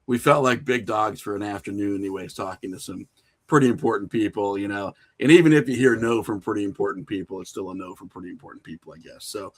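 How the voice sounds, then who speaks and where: A man's voice: on the low side, fast talker, breathy edge. Casual, self-deprecating man in conversation. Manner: informal, self-deprecating, anecdotal. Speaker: podcast guest, indie filmmaker.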